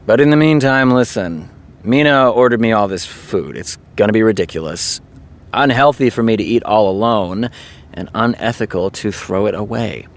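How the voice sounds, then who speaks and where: A man's voice: lowish voice, moderate pace, lightly acted. A man playing a wry, lonely guy. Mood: coaxing. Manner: dry, deadpan joking. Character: lonely, wry man, maybe still drunk. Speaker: Warren. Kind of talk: web series drama acting.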